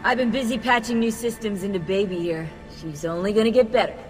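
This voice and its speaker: An actress playing a confident, casual, upbeat young tech specialist in a video game. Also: female voice, medium pitch, a little fast, lightly acted. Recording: echoey hall, music behind.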